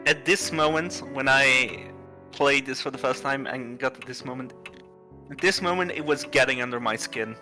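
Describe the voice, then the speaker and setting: A streamer reading a visual novel aloud and commenting on it, with a halting, unhurried delivery. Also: medium pitch, music in the background. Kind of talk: livestream game narration, read aloud.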